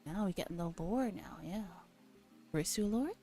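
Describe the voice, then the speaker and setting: A woman streamer with a mellow, warm tone reads a visual novel in a soft ASMR style. Gentle music plays behind her. She keeps the delivery relaxed and intimate as she moves through the narration. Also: on the low side, moderate pace, creaky. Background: soft background music.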